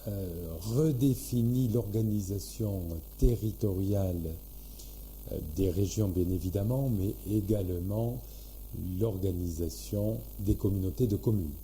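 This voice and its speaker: A man with a fairly deep, soft-edged voice explains official matters formally. He moves very slowly, breaking his sentences into short, measured phrases. A faint hum and light noise sit behind him. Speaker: local government official.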